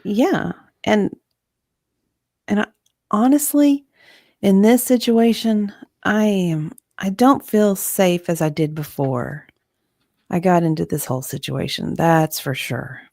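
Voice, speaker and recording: An intimidated journalist, acted by a woman, testifies in a dramatic deposition reading. Her answers are halting and uneasy, in a medium-pitched female voice. The recording sounds dry and close. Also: lively intonation, gravelly, dark tone, no room sound, full character voice. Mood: anxious.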